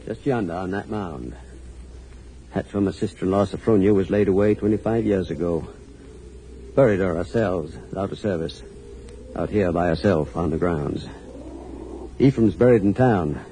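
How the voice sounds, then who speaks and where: A man playing a controlling, morbidly calm older husband in an old radio horror drama, folksy and menacing. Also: low-pitched, moderate pace, pauses often, close to an everyday voice, low-quality recording. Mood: commanding, menacing. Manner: folksy, menacing, matter-of-fact. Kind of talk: 1940s radio drama acting. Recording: old radio broadcast with faint noise.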